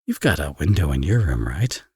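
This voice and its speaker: A man's voice: a bass voice, very animated, moderate pace, darkish tone, slightly rough, full character voice. A man playing a teasing, flirtatious villain and doting father in an intimate romance audio roleplay. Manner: playful, smug, sarcastic teasing.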